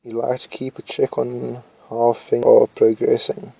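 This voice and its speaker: Young man, slow and flat, gravelly fry, thinking aloud with hesitations; dry close recording. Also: mid-range voice, no echo. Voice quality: says his voice is loud. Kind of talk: casual commentary while reading test questions aloud.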